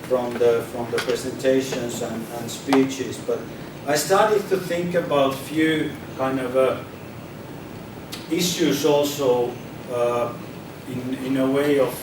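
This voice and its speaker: A man's voice: medium pitch, fairly even intonation, takes it slowly. A man from Finland giving an informal public talk, hesitant, often repeating words and restarting sentences. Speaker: speaker at a public debate. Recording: echoey hall from far off, noisy.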